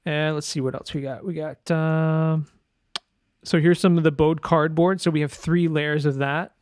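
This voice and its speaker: Guy doing a laid-back tutorial walkthrough, slightly high voice, thinking out loud, really dry close recording. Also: fairly even intonation, moderate pace, clear, resonant tone, no echo. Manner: informal, loose, thinking aloud. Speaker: sound designer giving a tutorial. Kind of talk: casual screen-share tutorial walkthrough.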